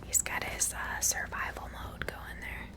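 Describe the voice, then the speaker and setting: An ASMR host in an unvoiced, breathy whisper, casually thinking aloud while playing through a game, unhurried and close to the microphone. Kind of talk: whispered ASMR game playthrough, unscripted commentary.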